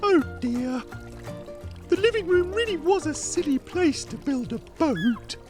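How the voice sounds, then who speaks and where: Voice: high-pitched, expressive, a little slow, darkish tone. A voice actor playing an earnest young panda in a preschool cartoon. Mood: earnest, apologetic. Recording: music playing behind.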